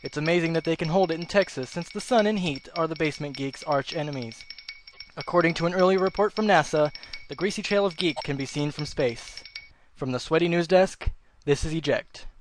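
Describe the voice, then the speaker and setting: A man's voice: on the high side, brisk, a little hiss or hum. A man presenting a scripted comedic news report with a dry, sarcastic manner. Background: background music.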